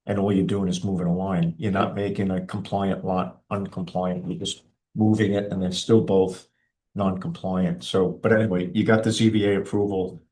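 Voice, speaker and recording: A man's voice: sits low, flat delivery, moderate pace, darkish tone, a little hiss or hum. A man chairing a town board meeting in an informal, plain-spoken way.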